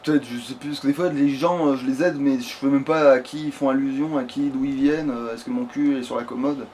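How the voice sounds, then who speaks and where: A man's voice: medium pitch, steady tone, a little fast, a little mellow, a little hiss or hum. A man giving casual, rambling gameplay commentary as a let's play host, swearing freely and talking crudely.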